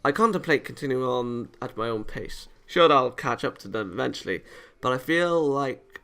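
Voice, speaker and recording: A man reading visual novel narration aloud as a let's player, in an expressive, dramatised way. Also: mid-range voice, moderate pace, creaky. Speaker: video game let's player.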